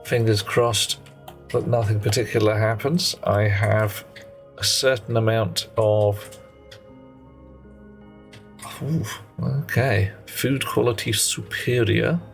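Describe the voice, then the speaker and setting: A man's voice: on the low side, fairly even intonation, takes it slowly, gravelly, long pauses. A man streaming a game live, chatting with viewers in a rambling way and often correcting himself mid-sentence. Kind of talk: casual live gameplay commentary. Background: faint music and a child playing nearby.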